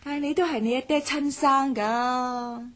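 High-voiced, outspoken young student woman. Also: measured pace. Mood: indignant. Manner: expressive, exclamatory. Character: outspoken, indignant, loyal young student woman, best friend. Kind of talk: radio drama acting.

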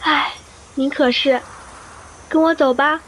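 A young princess acted by a woman, fussy and caring like an elder sister. She has a very high voice in audio drama style, over faint background noise. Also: steady tone, slow pace, fairly bright tone, rough recording. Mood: fond exasperation. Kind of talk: Chinese audio drama acting.

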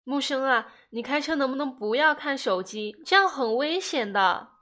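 Spoiled young girlfriend, high-pitched, bright, whiny and coquettish, radio drama style. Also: expressive. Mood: scolding. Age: young adult. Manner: whiny, coquettish, drawn-out words. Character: petulant, pampered young girlfriend, cutely nagging.

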